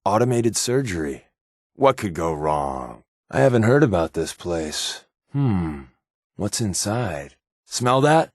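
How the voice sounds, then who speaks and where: An American actor playing a sardonic, wisecracking secret agent companion in a video game, with a wry, offhand delivery. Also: male voice, low voice, sing-song, slow pace, a little mellow, dry recording, clean studio sound, heavily acted.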